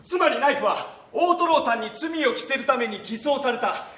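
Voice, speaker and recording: High-pitched, urgent young defence lawyer. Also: male voice, animated, normal speed, fairly bright tone. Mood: assertive. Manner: emphatic, urgent, declamatory. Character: earnest, desperate young male defence lawyer. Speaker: stage actor. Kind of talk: theatrical courtroom drama acting. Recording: echoey stage, distant microphone, faint noise.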